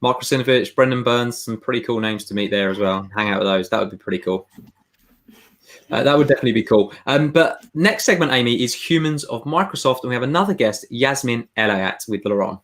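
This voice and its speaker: A man's voice: mid-range voice, expressive, fairly quick. A man co-hosting a show, easygoing and thinking aloud as he chats. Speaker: co-host. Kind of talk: casual chat.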